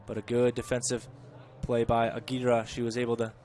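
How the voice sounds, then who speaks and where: A man giving chatty live play-by-play of a college soccer match, correcting himself and rising in pitch and volume when the play gets dangerous. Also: monotone, normal speed, darkish tone, a little hiss or hum. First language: English. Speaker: play-by-play commentator.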